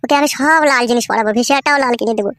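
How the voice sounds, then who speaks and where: Guy doing a horny lovesick village bachelor, cartoon comedy, high voice, gushes fast and crude. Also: bright, forceful tone, dry recording, exaggerated voice. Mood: lustful, yearning. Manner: crude, gushing. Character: lovesick, lustful, foul-mouthed village bachelor.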